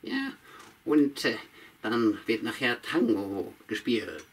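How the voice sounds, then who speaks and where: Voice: medium pitch, sing-song, slow, breathy edge, exaggerated voice. A puppeteer voicing a sly old witch who chats to herself and hums tunes in a puppet show. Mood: muttering. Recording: voice from a distance.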